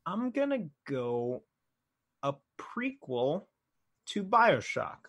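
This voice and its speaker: A man's voice: high-pitched, very animated, extremely slow, fairly bright tone, dull audio. A man co-hosting a podcast, talking informally and hesitantly as he thinks aloud. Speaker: podcast co-host. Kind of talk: casual conversational chat.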